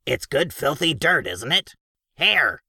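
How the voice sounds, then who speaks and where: A man's voice: a little high, sing-song, takes it slowly, vocal fry, bright, forceful tone, breathy edge, no echo, studio quality, pitched above the performer's own voice, exaggerated voice. A man voicing a blunt, cheerfully rude male gnome in an audiobook. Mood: gruff gleeful. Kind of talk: audiobook character voice.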